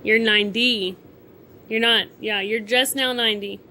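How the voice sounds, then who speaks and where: A woman's voice: on the high side, steady tone, moderate pace, pushed and bright, slight background noise. A woman in her twenties with an American accent, chatting casually and teasingly, asking simple questions and repeating answers back. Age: 20s. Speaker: vlogger interviewing grandfather.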